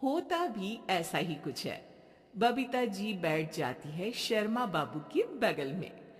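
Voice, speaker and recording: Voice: mid-range voice, sing-song, slow pace, long reverb tail. A storyteller giving scripted narration for a cartoon comedy tale.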